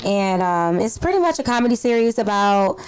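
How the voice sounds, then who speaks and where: A woman from Detroit with a slightly raspy voice, chatting informally and in slang as a podcast guest. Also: medium pitch, expressive, normal speed, slight background noise. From Detroit, United States. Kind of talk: casual interview chat.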